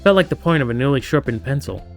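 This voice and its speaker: A man's voice: medium pitch, animated, fast talker, vocal fry, soft background music. A man streaming a game, reading its story aloud with casual, playful, chatty asides to his viewers.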